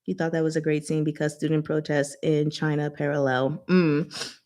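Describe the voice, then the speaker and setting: A woman's voice: low voice, flat delivery, moderate pace, darkish tone. A woman casually recapping a novel in a book discussion, with a chatty, playful, giggly manner and paraphrased dialogue acted out in slang.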